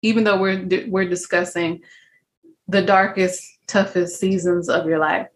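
A woman's voice: fairly low pitch, little pitch movement, unhurried. A woman hosting an interview, speaking reflectively and smiling as she talks. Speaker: host. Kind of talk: conversational interview.

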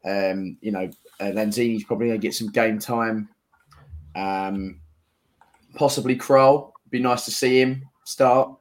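A man's voice: fairly low pitch, steady tone, a little slow. A man co-hosting a football podcast, talking informally as a fan in casual conversation. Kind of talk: casual chat among fans.

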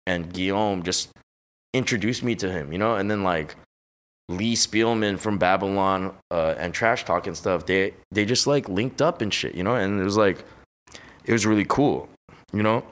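A young man from Los Angeles rambles through a casual podcast chat, full of slang, swearing and fillers. His voice sits a little low. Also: normal speed. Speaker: podcast guest. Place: hot room on a high floor.

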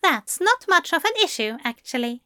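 A woman plays a prim, smug gentleman author in an audio story. The voice is pitched high and swoops emphatically through each haughty retort. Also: sing-song, normal speed, dry recording, studio quality, full character voice.

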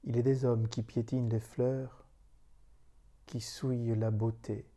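A man recites solemn spoken poetry in a very mellow, dark voice. His delivery is slow and meditative, with grave pauses between short phrases. The intonation stays flat and even throughout. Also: mid-range voice. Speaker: meditation leader reciting own poem.

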